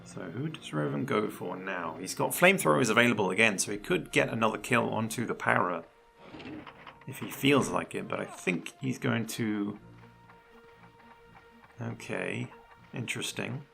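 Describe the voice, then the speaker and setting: A man commentating a video game match live, chatty and analytical, his voice a little croaky. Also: on the low side, normal speed, sharp tone, faint music behind. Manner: chatty and analytical, laughs often. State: slightly croaky voice. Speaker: esports commentator.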